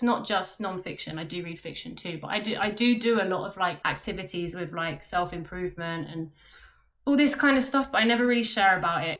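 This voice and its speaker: A woman's voice: fairly low pitch, fairly bright tone. Chatty young woman vlogging. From London, England. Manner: self-conscious, chatty, many fillers. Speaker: vlogger and web developer. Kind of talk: casual talk to camera. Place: bedroom at home.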